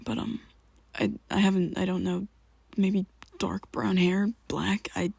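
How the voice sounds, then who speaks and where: A woman in an ASMR roleplay, talking to the listener as a worried best friend, halting and rambling, often sinking into a soft whisper close to the mic. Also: medium pitch, steady tone, creaky, breathy edge, no room sound. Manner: hesitant and rambling, halting restarts.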